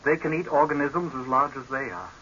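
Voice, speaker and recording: Hissy thirties radio play. Young male scientist, acted by a man: earnest, formal, guarded, crisp-edged forceful delivery. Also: medium pitch, brisk, lightly acted. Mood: eager, deferential. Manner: formal, deferential, restrained. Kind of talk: 1930s radio horror drama acting. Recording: hissy, muffled old radio recording.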